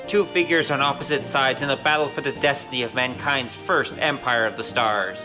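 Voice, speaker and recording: A man's voice: mid-range voice, brisk, over music, low-quality recording. A man reading scripted opening credits as the announcer of an audio drama.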